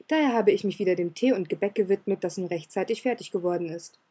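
A suave demon butler, courteous and quietly amused, every phrase smooth and measured, as a woman narrator voices him. The tone is mellow and soft with a husky grain. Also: mid-range voice, lightly acted. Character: suave, polite demon butler, adult male.

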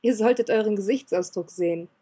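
A woman voicing a suave, polite demon butler who speaks smoothly and with faint amusement. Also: medium pitch, brisk, dark tone, close to an everyday voice. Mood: courteous.

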